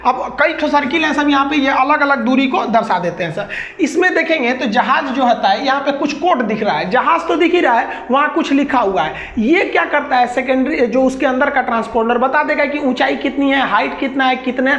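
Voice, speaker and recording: A woman's voice: sits high, lively intonation, a little fast, a bit bright. A woman with a Bihari accent giving an informal explainer lecture, colloquial and repeating points with everyday examples. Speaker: teacher. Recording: echoey, dull lo-fi audio.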